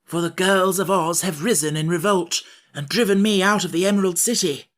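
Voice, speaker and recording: A man's voice: high voice, brisk, fairly bright tone, voice pushed up. A man voicing a mild, doleful scarecrow king. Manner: mild and polite, rather mournful. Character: polite, doleful straw-stuffed king. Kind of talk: audiobook character voice.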